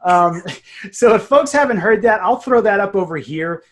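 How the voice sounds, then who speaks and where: A man's voice: high-pitched, expressive, normal speed. A man in his forties chatting casually and enthusiastically about creative work, telling stories and quoting people as he goes. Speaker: audio drama writer and producer. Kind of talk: casual behind-the-scenes chat.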